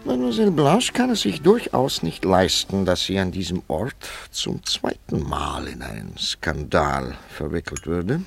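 An actor in a German radio drama playing a courteous, reserved gentleman with a dry, polite manner. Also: low voice, big pitch swings, unhurried, vocal fry, few pauses, slightly rough, heavily acted. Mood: confiding, dry. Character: courteous, reserved, well-informed English gentleman. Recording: music behind the dialogue.

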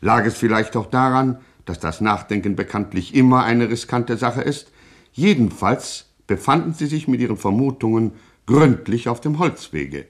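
A man delivering wry, measured scripted narration for a satirical radio play. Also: mid-range voice, lively intonation.

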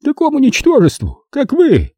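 A man's voice: sits high, sing-song, fairly quick, dark tone, dry recording, studio quality, voice pushed up. A man voicing an arrogant, contemptuous male film producer in an audiobook, speaking in outraged, scornful exclamations.

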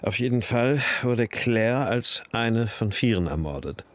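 An actor playing a patient, matter-of-fact police detective in a radio crime drama, explaining things in a measured, businesslike way. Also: male voice, medium pitch, expressive, natural voice. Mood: patient, frank.

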